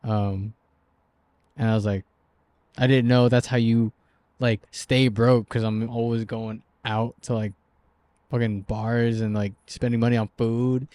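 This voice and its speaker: A man's voice: mid-range voice, level tone, measured pace, dark tone. Laid-back man in his twenties. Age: 20s. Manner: laid-back, slangy, laughs often. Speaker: podcast co-host. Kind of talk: casual chat.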